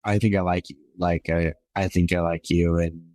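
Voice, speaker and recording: A man's voice: level tone, normal speed, darkish tone, dry recording. A man in his twenties, a podcast guest, telling his personal story in a rambling, earnest way full of fillers. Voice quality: somewhat high voice for a man.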